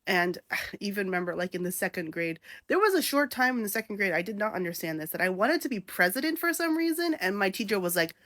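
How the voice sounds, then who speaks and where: A woman's voice: mid-range voice, big pitch swings, fast, a bit bright, no echo, studio quality. A woman in her thirties, born in Japan and raised in New York, talking candidly to camera in a casual vlog full of fillers and asides. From born in Japan, raised in New York City. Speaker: content creator.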